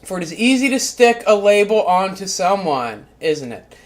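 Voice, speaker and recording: A performer voicing a short-tempered, foul-mouthed cat who reads a spiritual book aloud, going from calm, measured reading to yelled, swearing rants. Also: medium pitch, lightly acted.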